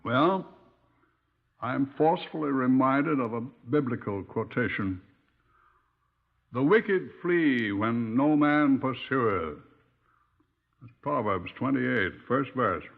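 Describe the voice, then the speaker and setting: Creaky, droll senior policeman. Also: mid-range voice, lively intonation, exaggerated voice. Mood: sage, dry. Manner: dry, droll, deliberate. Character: wry, unhurried senior police superintendent, food-loving. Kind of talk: 1950s radio drama acting. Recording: tinny, narrow 1950s radio recording.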